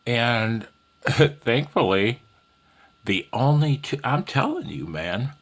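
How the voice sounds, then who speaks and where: A man's voice: medium pitch, big pitch swings, slow pace. A man giving a casual, rambling amateur radio tutorial in a folksy, self-deprecating, slightly nervous way.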